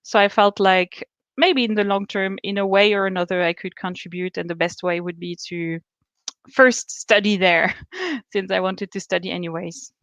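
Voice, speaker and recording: Smooth-voiced woman from Austria. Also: medium pitch, fairly even intonation, moderate pace, a bit bright, no room sound, muffled recording. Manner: thoughtful, many fillers and restarts. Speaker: student guest. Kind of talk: casual interview answers.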